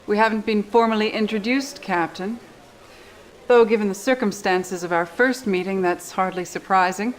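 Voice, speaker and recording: An actress in her thirties playing a composed, frank military major in a video game cutscene, dry and measured. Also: medium pitch, natural voice, low-quality recording. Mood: frank, bitter.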